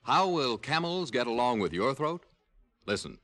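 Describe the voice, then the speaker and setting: A man's voice: mid-range voice, big pitch swings, moderate pace. A man with an American accent announcing a mid-century radio show and reading its sponsor message. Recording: old radio broadcast with loud music.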